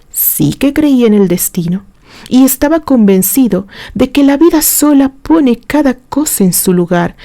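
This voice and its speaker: Slow-paced woman narrating a story, mellow. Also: mid-range voice, no echo. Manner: expressive, deliberate storytelling with dramatic pauses. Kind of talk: dramatic scripted audiobook narration of a romantic story.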